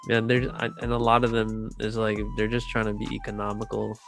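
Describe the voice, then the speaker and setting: A man in casual chat, speaking in a halting, slangy, opinionated way. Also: on the low side, little pitch movement, vocal fry, fairly bright tone. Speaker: podcast co-host. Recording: music under the voice.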